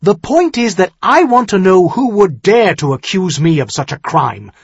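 A man playing a proud younger nobleman who is quick to take offence, sharp and demanding, in an audiobook. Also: fairly high pitch, animated, husky, no echo, muffled recording, voice pushed up. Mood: hotly indignant. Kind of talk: audiobook character dialogue.

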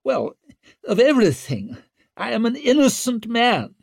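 Accused nobleman, audiobook character, shrill, high-pitched, melodramatic, blustering, pleading. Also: huge pitch swings, unhurried, creaky, no echo, clean studio sound, exaggerated voice. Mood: pleading, indignant. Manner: wheedling, melodramatic, indignant. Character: whining, desperate, self-pitying accused nobleman, blustering and shrill.

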